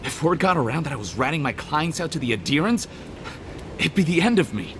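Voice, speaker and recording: A man's voice: on the high side, big pitch swings, fairly quick, noisy background. A man voicing an anxious, hesitant merchant in a video game, stammering and fearful. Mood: nervous, pleading. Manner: nervous, hesitant.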